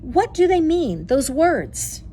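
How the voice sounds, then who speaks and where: A woman voicing an inquisitive, excitable young girl with a wondering manner in a storybook reading. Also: high voice, takes it slowly, a little hiss or hum, pitched above the performer's own voice, full character voice. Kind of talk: children's storybook read-aloud.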